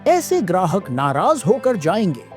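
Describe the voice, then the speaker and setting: A man's voice: high-pitched, big pitch swings, measured pace, faint music behind. A man voicing a cautious young shop helper, whiny yet deferential, in a children's cartoon. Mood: reproachful. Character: cautious young male shop helper, nagging his master. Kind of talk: Hindi children's moral-story cartoon dub.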